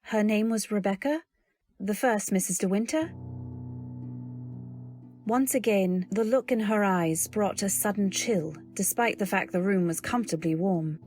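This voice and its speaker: A woman playing a timid, earnest young wife who tells her tale in an old-time radio drama, gentle and polite. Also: mid-range voice, unhurried, faint music behind, natural voice. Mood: tentative. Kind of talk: old-time radio drama, first-person narration and dialogue.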